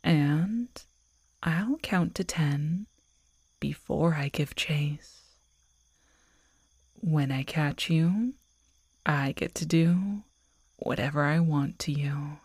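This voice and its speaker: A woman's voice: deep voice, darkish tone, slightly rough, dry recording, heavily acted. A woman playing a predatory, teasing werewolf girlfriend in an intimate, half-whispered ASMR roleplay, close to the microphone. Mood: playful, predatory.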